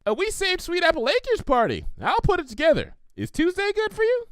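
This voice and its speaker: A man's voice: very high-pitched, wildly swooping pitch, fairly quick, dry recording, pitched above the performer's own voice, full character voice. A man voicing a hyperactive, cheerful young female cartoon pony with a bubbly, gushing, rambling manner. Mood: bubbly agreement.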